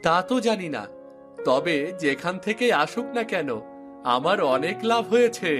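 A man's voice: high voice, expressive, measured pace. A man voicing an eager, earnest young village fisherman in a cartoon, insisting on what he says. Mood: excited, insistent. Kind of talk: cartoon dialogue acting. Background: music.

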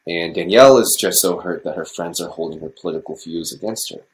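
A man's voice: low voice, fast talker, lightly acted, rough recording. A young man playing an earnest, concerned school counselor in a dramatized meeting on a video call, explaining carefully.

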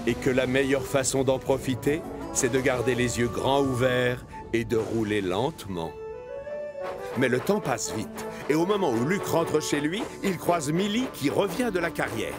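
A man narrating a children's cartoon story in an expressive storytelling manner. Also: medium pitch, moderate pace, music in the background.

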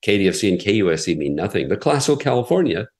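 Deep-voiced American man explaining calmly. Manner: measured, explanatory, frequent "you know" fillers. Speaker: classical radio station president, guest. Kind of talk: conversational interview answers.

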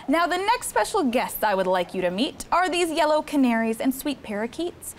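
A young woman hosting a children's story time, reading aloud in an expressive, sing-song way and chatting with the kids. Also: sits high, moderate pace, slight background noise. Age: young adult. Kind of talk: animated read-aloud to children, with chat. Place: indoor studio set with children seated on a rug.

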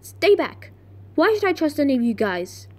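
A woman with flu voicing a distrustful, defiant young female survivor in an amateur animated movie audition. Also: high voice, normal speed. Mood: suspicious, defiant. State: sick with flu, voice cracking.

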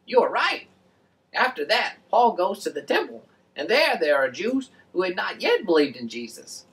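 A woman voicing an excitable, friendly boy puppet, explaining things in an animated way for a children's show. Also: mid-range voice, moderate pace, recorded from a distance. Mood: earnest.

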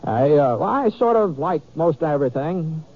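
An actor playing a bashful, easygoing cowboy in a 1950s radio western, speaking in a halting, folksy, hesitant way. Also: male voice, a little high, big pitch swings. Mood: awkward, mild. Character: bashful, stammering, easygoing cowboy stalling a wedding. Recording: muffled, tinny 1950s radio recording.

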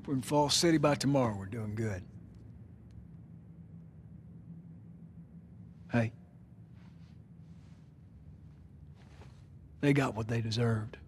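An actor playing a weathered middle-aged survivor in a video game drama, with a folksy, easygoing manner. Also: male voice, medium pitch, a little fast, slight background noise, close to an everyday voice. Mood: reassuring.

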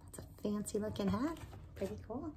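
A woman's voice: mid-range voice, slight background noise. A woman in her forties, a teacher reading a picture book aloud to children from a small room at home, speaking expressively to her friends. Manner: expressive, slow, talks to listeners as friends.

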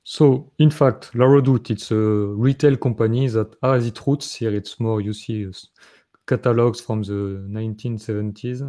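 Man, French accent, thirties, low mellow voice, halting with fillers, webcam talk from home. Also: fairly even intonation. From France. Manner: halting, many fillers and restarts. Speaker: conference speaker. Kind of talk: recorded conference talk. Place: home room, indoors.

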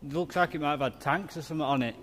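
A man's voice: on the high side, very fast, fairly bright tone. A man, giving offhand, mumbled commentary while filming inside a large empty factory hall on a handheld camera. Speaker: explorer filming. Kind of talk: casual walkthrough commentary. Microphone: handheld camera mic.

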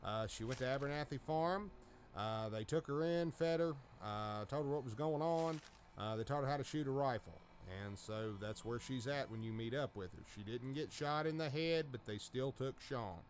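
A man's voice: mid-range voice, normal speed, slight background noise. A man giving a casual, folksy voice-over as host of a video game mod showcase. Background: video game sounds and dialogue.

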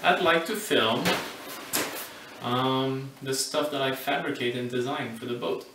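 Deadpan man with dry quips. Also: medium pitch, measured pace, gravelly, background noise. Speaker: sailing vlog co-host. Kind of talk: casual chat. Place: living room at home, indoors. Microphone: far camera. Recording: echoey room, mic at a distance.

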